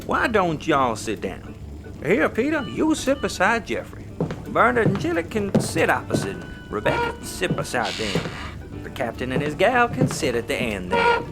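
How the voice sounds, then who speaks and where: A hospitable Southern American dad for a sci-fi comedy. His drawl is folksy and leaps up and down in pitch. Clear music plays underneath. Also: huge pitch swings, moderate pace. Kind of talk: sci-fi audio comedy acting.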